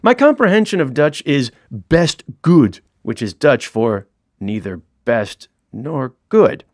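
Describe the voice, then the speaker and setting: Middle-aged man, dry close studio read, animated swoops in pitch, wry self-deprecating comic narrator. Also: slow, no echo. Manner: wry, self-mocking storyteller. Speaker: author reading his own audiobook.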